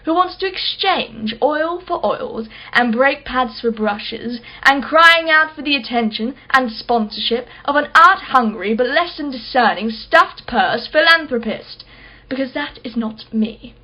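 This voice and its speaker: Woman acting as rich female art collector, high-set voice, articulate, haughty, sharp-tongued, radio play, slightly dull audio. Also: lively intonation, a little slow. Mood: disdainful. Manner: articulate, imperious, sardonic.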